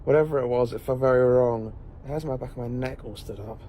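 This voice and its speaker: A man's voice: mid-range voice, fast talker, mellow, slight background noise, lightly acted. A man playing an eccentric, curious time-travelling professor in an audio drama, wry and musing. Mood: uneasy, musing. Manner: wry, musing, British idiom.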